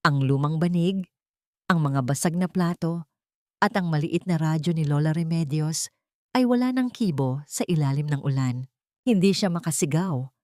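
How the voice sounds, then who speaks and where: A woman with a fairly low voice narrates a melodrama. Her delivery is scripted and dramatic, and the sound is very dry and close, free of room echo. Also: darkish tone.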